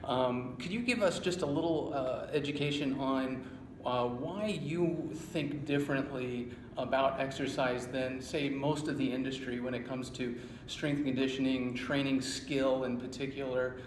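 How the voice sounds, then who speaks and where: A man acting as interviewer, giving a spoken introduction in a halting, hesitant way. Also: steady tone. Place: indoor room at a conference. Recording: roomy echo, clear background rumble and noise.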